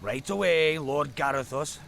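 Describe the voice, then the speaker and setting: A man playing a resentful human foot soldier in a fantasy game, with a gravelly voice. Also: on the high side, animated, unhurried, a bit bright, a little hiss or hum, full character voice. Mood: obedient. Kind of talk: scripted fantasy game dialogue.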